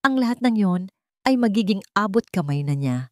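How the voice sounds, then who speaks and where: A woman's voice: mid-range voice, expressive, fairly quick, darkish tone, dry recording, clean studio sound. A woman narrating a dramatic romance story from a script.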